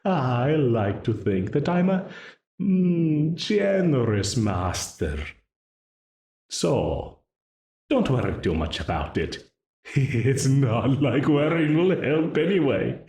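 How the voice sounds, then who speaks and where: Brief: male actor as a smug, menacing demon in an audio roleplay, very slow, swooping pitch, roomy echo. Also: sing-song, darkish tone. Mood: menacing, mocking. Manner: slow, taunting, with frequent laughs.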